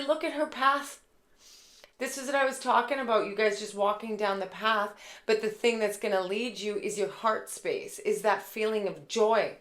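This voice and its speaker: A woman with a raspy voice giving a casual, chatty tarot reading, full of fillers and warm asides to her viewers. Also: mid-range voice. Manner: gushing, chatty, many fillers like "like" and "okay". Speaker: tarot reader and intuitive.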